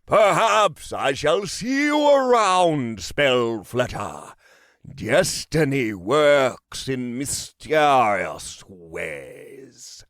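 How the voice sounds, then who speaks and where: A man's voice: medium pitch, very animated, a bit bright, no room sound, studio quality, voice pushed up, heavily acted. A man voicing an overdramatic, pompous wizard roleplayer with a fake British accent in a fantasy audiobook. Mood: pompous, theatrical. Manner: overdramatic, pompous, drawn-out proclamations. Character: hammy, maniacal wizard roleplayer under forty, grandiose and theatrical.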